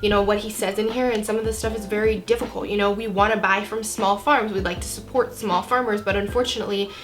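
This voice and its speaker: A woman's voice: medium pitch, fairly even intonation, fast. Young American woman with a cold, music behind. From Florida, United States. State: has a cold. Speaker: book vlogger. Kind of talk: casual chat to camera.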